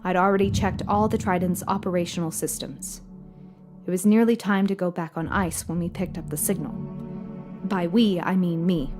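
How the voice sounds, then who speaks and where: A woman playing a matter-of-fact space freighter captain, giving a measured official report in a scripted audio drama. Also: fairly low pitch, fairly even intonation, over music, natural voice. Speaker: narrator of a fiction podcast. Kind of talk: scripted first-person audio drama narration.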